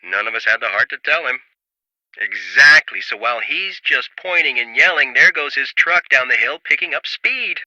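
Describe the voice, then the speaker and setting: An actor playing an animated storm chaser telling a wry, mocking anecdote in a video game. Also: male voice, medium pitch, normal speed, no room sound, lo-fi sound.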